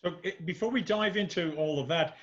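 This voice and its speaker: A man hosting a casual interview over a video call, asking questions in a relaxed, joking way. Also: sits high, brisk, muffled recording. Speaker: host.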